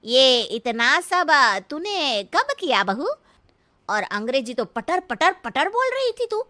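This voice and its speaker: An elderly village mother-in-law for a cartoon story, very sharp and high. She is cheeky and stubborn, drawing out her folksy scolding with big swoops in pitch. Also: big pitch swings, slow, exaggerated voice. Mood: gossipy. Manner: literal-minded, scolding, folksy. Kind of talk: exaggerated comic cartoon story acting.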